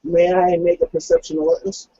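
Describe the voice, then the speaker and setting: A man's voice: sits high, wildly swooping pitch, fairly quick, vocal fry, warm tone, lo-fi sound, a little hiss or hum. A man playing a tabletop roleplaying game, casually narrating what his character does.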